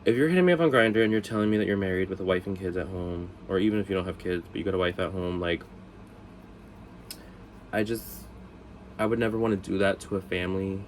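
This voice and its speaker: Low-voiced man with a head cold. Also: fast talker, a little hiss or hum, rough recording. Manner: chatty, rambling, self-mocking asides. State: congested from a cold. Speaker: vlog host. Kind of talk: casual storytime chat.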